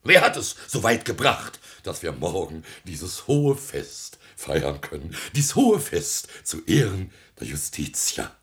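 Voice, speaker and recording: An actor playing a pompous, self-important elderly small-town mayor in a radio comedy, declaiming and blustering in stuffy officialese. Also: male voice, low-pitched, wildly swooping pitch, takes it slowly, hardly any pauses, a bit bright, heavily acted. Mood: proud, declamatory. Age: older. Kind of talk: theatrical radio comedy acting.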